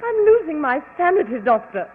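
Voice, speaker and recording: An actress playing an anxious, bitter upper-class wife in a 1940s radio drama, with an overwrought, theatrical delivery. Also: female voice, high voice, big pitch swings, normal speed. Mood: frantic. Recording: old radio broadcast, lo-fi and hissy, long reverb.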